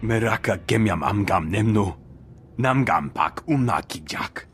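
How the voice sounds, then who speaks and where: A man's voice: lowish voice, animated, a little slow, a bit bright, a little hiss or hum. A man voicing a solemn alien cult member in a video game, declaiming in an invented tongue. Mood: solemn, declamatory. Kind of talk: video game cutscene acting.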